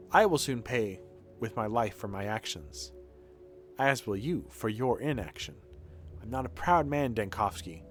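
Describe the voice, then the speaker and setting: A man voicing a proud, grave young aristocratic heir from a video game, speaking in a formal, measured, solemn way. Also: medium pitch, expressive, fairly bright tone. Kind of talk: dramatic reading of game dialogue. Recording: game music behind the voice.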